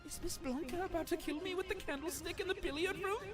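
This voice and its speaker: A woman voicing a bewildered amateur sleuth with a Scottish accent in an animated mystery. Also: very high-pitched, quick pace. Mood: bewildered, alarmed. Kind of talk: animated fan-drama voice acting. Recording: background music.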